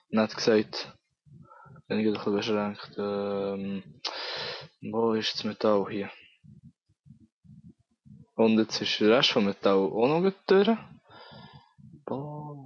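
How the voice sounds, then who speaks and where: A man's voice: lowish voice, dry recording, muffled recording. A young man with a Bernese Swiss German accent, rambling and mocking himself while playing a game, with a cold. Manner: rambling, self-mocking, thinking aloud. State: has a cold. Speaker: let's play gamer. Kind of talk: casual gameplay commentary.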